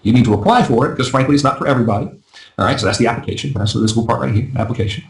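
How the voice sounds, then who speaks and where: A man coaching a live webinar in a chatty, self-deprecating way, his voice going a little hoarse. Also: medium pitch, roomy sound. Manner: fast, chatty, self-deprecating, often asks "right?". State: losing his voice. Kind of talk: casual live webinar teaching.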